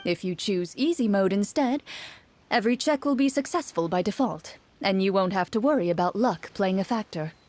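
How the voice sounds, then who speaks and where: A woman playing a composed game master who explains rules in a measured, instructive manner in an anime game. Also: mid-range voice, animated, a little mellow, a little hiss or hum, close to an everyday voice. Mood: explanatory.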